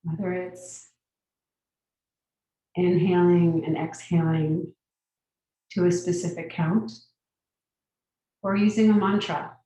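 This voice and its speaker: A woman guiding a meditation as a teacher, giving gentle, unhurried instructions with long pauses. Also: lowish voice, fairly even intonation. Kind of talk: guided meditation. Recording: very echoey room, distant mic.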